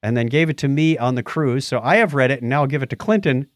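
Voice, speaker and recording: A man's voice: medium pitch, animated, fast talker, no echo, studio quality. A middle-aged or older man co-hosting a podcast, casually reviewing things in a rambling way and groping for names. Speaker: podcast co-host. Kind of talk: casual movie and book review chat.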